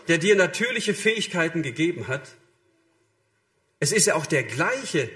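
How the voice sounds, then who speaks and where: Higher-voiced man preaching conversationally. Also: fairly bright tone. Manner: direct address to listeners, acting out short imagined quotes. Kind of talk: sermon, conversational and illustrative.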